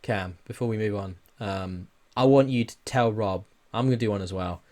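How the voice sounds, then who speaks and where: A man's voice: lowish voice, moderate pace, darkish tone. A man co-hosting a podcast in casual conversation, speaking with enthusiasm and strong opinions. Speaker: podcast co-host. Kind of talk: casual film and game chat.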